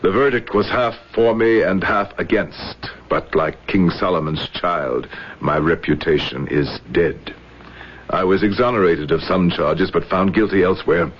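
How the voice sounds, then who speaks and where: An actor in an old radio drama playing a proud, embittered, ailing army general, grave and formal. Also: male voice, low voice, measured pace. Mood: bitter. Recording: muffled, noisy old radio recording.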